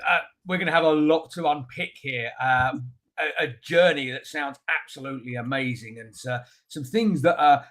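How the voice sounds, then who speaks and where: A man hosting a live webinar, greeting viewers and introducing his guest in a chatty, enthusiastic way with frequent fillers. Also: a little high. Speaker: webinar host.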